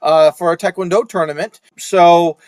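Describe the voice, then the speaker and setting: A man's voice: sits high, normal speed, dry recording. Young man co-hosting a chatty podcast. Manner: relaxed, stammers on first words, laughs often. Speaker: podcast co-host. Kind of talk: casual chat. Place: home room.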